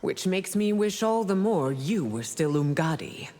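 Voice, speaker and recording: An actress playing a regal, commanding empress in a video game, with an imperious, dignified manner. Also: female voice, on the low side, expressive, a little slow. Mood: commanding, solemn. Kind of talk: video game character acting. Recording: reverberant hall with clear noise.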